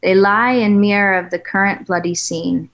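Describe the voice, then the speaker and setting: A woman reading screenplay stage directions at a table read in an even, measured way. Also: mid-range voice.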